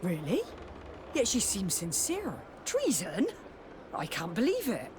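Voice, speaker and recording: High-voiced kindly sailor in noise. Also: male voice, wildly swooping pitch, takes it slowly, exaggerated voice. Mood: surprised, thoughtful. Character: kindly, sympathetic, trusting male sailor. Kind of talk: video game cutscene dialogue. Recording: clear noise, outdoor ambience behind.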